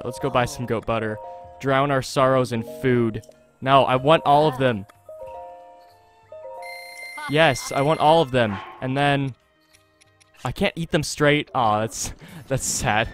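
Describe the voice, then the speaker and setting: A man's voice: a little high, a bit bright. A man hosting a gaming video with chatty, wry, self-deprecating casual commentary, speaking close to a desktop microphone. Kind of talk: casual let's-play commentary. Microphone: close desktop USB microphone. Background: game music.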